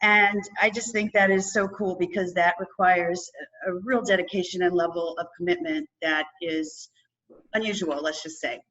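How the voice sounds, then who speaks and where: Energetic woman podcast host. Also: on the low side, normal speed, highs cut off. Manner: energetic, chatty, laughs often. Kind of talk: upbeat interview podcast hosting.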